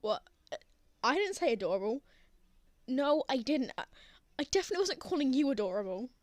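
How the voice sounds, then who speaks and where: A woman playing a prickly, flustered, lovestruck young man in an intimate ASMR roleplay, with halting, sardonic delivery. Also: sits high, big pitch swings, no echo. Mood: flustered, defensive.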